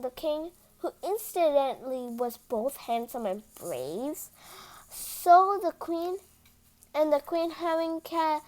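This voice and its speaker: Woman, storybook read-aloud host. High voice, very lively, unhurried, casual asides. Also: rough recording. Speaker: host reading a picture book aloud.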